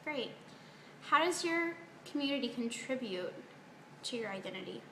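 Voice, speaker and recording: A woman's voice: fairly high pitch, animated, moderate pace, bright, forceful tone. A woman interviewer putting prepared questions to a guest. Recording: echoey room, faint background noise.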